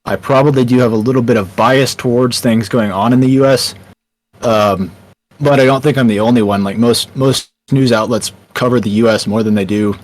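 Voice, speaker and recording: A man's voice: mid-range voice, fairly even intonation, normal speed, darkish tone, poor audio. A young man with a Texan accent, answering interview questions with many ums and restarts. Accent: Texan American. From Texas, USA. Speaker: founder and writer of a small news site.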